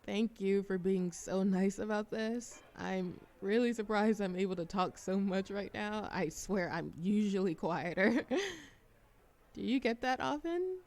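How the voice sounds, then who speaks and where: A woman's voice: mid-range voice, a little slow, darkish tone, close to an everyday voice. A woman playing a shy, socially anxious young woman in a café audio roleplay, chatting in a hesitant, self-deprecating ramble. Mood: shy, chatty. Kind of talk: roleplay ASMR audio drama, conversational monologue.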